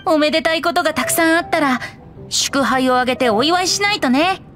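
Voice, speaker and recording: A soft, lyrical teenage boy bard from an anime game, acted by a woman. The voice sits fairly high, gentle and playful with a wistful storyteller's touch. Music plays clearly behind it. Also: brisk, close to an everyday voice. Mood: cheerful, playful. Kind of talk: Japanese anime game voice acting.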